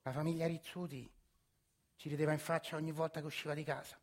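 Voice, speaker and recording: A man's voice: on the high side, level tone, fast, close to an everyday voice. An actor playing a weary, earnest working-class Roman family man, confiding his grievances in a colloquial theatrical monologue. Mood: confiding, aggrieved. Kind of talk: theatrical first-person monologue.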